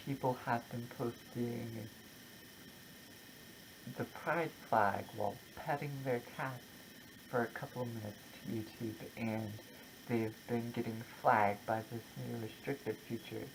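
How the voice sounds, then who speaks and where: A content creator talking casually and personally, in a halting, reflective way with short broken phrases. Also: medium pitch, noisy background. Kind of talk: casual personal vlog, opinion talk to camera.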